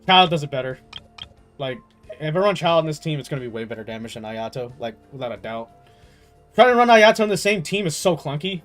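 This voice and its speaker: High-voiced young man streaming games. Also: fairly quick, faint music behind. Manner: animated, slangy, swears freely. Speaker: game streamer. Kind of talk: casual livestream chat.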